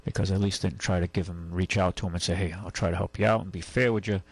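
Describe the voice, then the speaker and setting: A man hosting a call-in radio show, giving casual advice in a halting, rambling way. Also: deep voice, steady tone, husky, dry recording. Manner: halting, rambling, conversational explanations. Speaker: radio call-in show host, car dealer.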